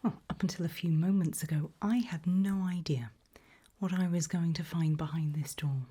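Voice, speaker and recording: Woman performing as friendly female scientist, very breathy, soft and mellow, hesitant, polite, forgetful, ASMR roleplay. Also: on the low side, fairly even intonation, moderate pace. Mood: friendly, curious. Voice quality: breathy whisper.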